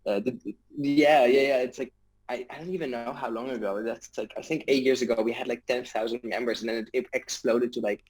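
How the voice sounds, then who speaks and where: Hesitant man, mid-pitched voice. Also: steady tone, brisk, breathy edge, poor audio. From Netherlands. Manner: hesitant, repeats words often. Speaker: interview guest, tech startup founder. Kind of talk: casual conversational explanation.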